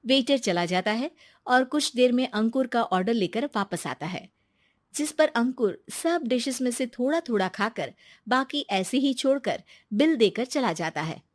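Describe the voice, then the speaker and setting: Woman, story narrator, calm and even, slightly high voice, dry close recording. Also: unhurried, dry recording.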